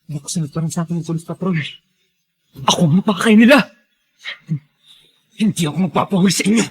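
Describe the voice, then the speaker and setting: A man's voice: sits high, fast, breathy edge. A Filipino actor playing a desperate, defiant young fugitive in a radio drama, frantically narrating his own escape. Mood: panicked, defiant. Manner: frantic, melodramatic self-narration. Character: desperate defiant young man fleeing the police.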